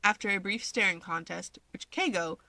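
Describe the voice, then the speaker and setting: A woman's voice: medium pitch, normal speed, creaky, bright, forceful tone, dry recording. A woman reading a scripted story aloud as narrator, putting on voices for the characters. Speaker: fan fiction reader.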